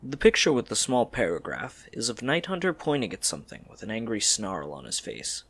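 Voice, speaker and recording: A man's voice: lowish voice, big pitch swings, fairly quick, fairly bright tone, slightly rough. A man reading scripted story narration for an audio drama.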